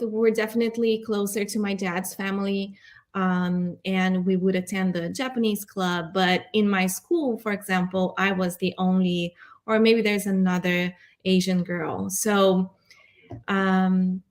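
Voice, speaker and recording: A woman's voice: mid-range voice, steady tone, unhurried. A woman in her forties from Brazil with a Brazilian accent, answering interview questions in a candid, reflective, conversational way. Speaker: interview guest, arts nonprofit director.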